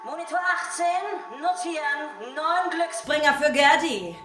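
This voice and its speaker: Haughty, swooping pink fashion boss. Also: female voice, high-pitched, animated, measured pace, a bit bright, reverberant, faint music behind. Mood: bossy, commanding. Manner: haughty, exaggerated. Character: imperious, gushing female boss of a pink fashion empire. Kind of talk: children's radio play acting.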